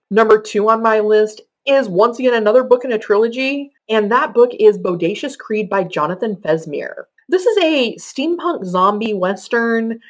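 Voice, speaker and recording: Conversational woman presenting a countdown list. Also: on the high side. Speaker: podcaster giving book recommendations.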